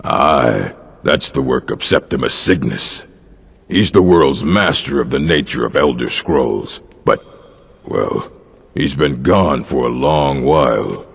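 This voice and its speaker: Gravelly old orc librarian. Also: male voice, a bass voice, animated, slow, heavily acted. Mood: somber. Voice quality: gravelly, husky. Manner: dry, weary, curt. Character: gruff older male orc librarian, dry and guarded. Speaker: game character. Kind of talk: video game voice acting. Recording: long hall reverb.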